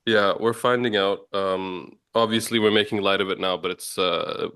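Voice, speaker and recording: Smooth, dry-voiced male podcast host. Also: lowish voice, fairly even intonation, normal speed, fairly bright tone, no echo. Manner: hesitant, many uhs and restarts. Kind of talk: casual podcast chat.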